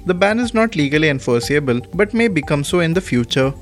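Indian-accented male newsreader, slightly high voice. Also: very animated, fast talker. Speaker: news presenter. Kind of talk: scripted news bulletin reading. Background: music.

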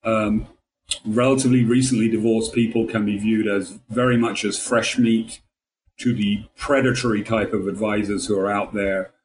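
Male interview guest, financial planner, lower-pitched voice, articulate and measured in delivery. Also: steady tone, low-quality recording. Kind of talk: radio interview answers.

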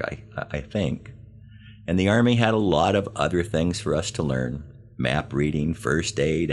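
An older American man tells scripted stories from his own life. His voice sits extremely low and has a heavy, gravelly creak. He delivers it with wry self-deprecation, leaving deliberate pauses for emphasis. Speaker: podcast host and storyteller. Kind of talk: scripted humorous memoir narration.

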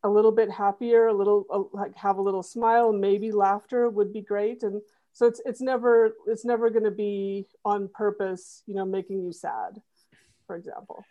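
A woman with a mellow, darker voice, speaking as a podcast guest. She explains her comedy work earnestly and rambles a little, filling pauses with fillers. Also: medium pitch, fairly even intonation, lo-fi sound. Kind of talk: casual interview.

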